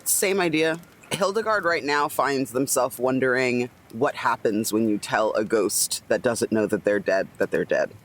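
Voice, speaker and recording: Mid-pitched man playing a tabletop game. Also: unhurried, fairly bright tone. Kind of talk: thinking aloud about a character in casual roleplay.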